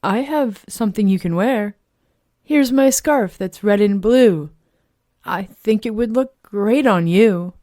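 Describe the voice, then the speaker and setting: A woman's voice: mid-range voice, takes it slowly, mellow, no echo, clean studio sound, voice pushed up, close to an everyday voice. A woman voicing kind, eager little children in a storybook, with an exclaiming, sing-song delivery. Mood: generous. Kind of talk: animated storybook read-aloud.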